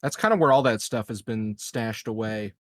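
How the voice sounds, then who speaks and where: A man's voice: on the low side, normal speed. A man playing a tabletop game, talking casually and hesitantly as he searches for words. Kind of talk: casual improvised game talk.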